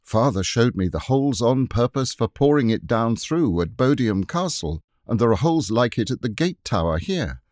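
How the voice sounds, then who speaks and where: A man's voice: mid-range voice, sing-song, moderate pace, few pauses, darkish tone, no echo, studio quality, natural voice. A man reading the part of a curious, thoughtful young girl.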